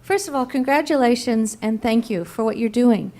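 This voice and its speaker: A woman's voice: a little high, moderate pace, a little mellow. Woman asking from the audience. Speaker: audience member asking a question. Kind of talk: audience question at a panel.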